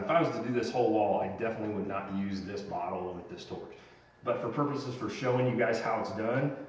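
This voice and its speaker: A man's voice: on the low side, recorded from a distance. Chatty man in an echoey basement. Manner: chatty, rambling, addresses viewers as guys. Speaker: home renovation vlogger. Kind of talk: casual how-to explanation. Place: large unfinished basement, indoors. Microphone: camera-mounted mic, a few steps away. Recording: roomy echoey basement, voice off-mic.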